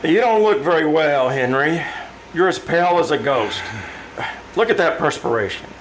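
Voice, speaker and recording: A man playing an exasperated, brisk business partner in 1940s radio style, insistent and questioning. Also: on the high side, animated, a little hiss or hum, natural voice, poor audio. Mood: exasperated, concerned.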